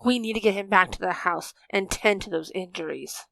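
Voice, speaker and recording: A man's voice: sits high, a little fast, creaky, pushed and bright, dry recording, voice pushed up, heavily acted, poor audio. A man voicing a determined, wary young female fighter, earnest and urgent, in a story reading.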